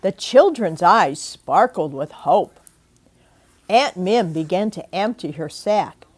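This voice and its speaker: An older woman reads a children's storybook slowly and deliberately. Her pitch moves in lively swings, and she turns to her young listeners with asides and questions as a grandmother would. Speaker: grandmother reading a picture book aloud. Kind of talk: expressive children's storybook read-aloud. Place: indoors at home.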